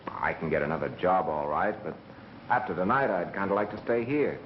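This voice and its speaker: An earnest young American in a vintage thirties picture, low in pitch but lively in intonation. He is stubborn yet a little shy and hesitant, on a dull, faintly noisy soundtrack. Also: a little fast. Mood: resigned, thoughtful. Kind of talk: 1930s Hollywood film acting.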